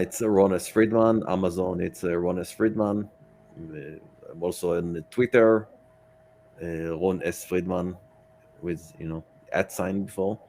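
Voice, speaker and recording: A man speaking as a podcast guest in a casual interview, with hesitant, halting phrasing. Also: deep voice, darkish tone. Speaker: podcast guest, science fiction author.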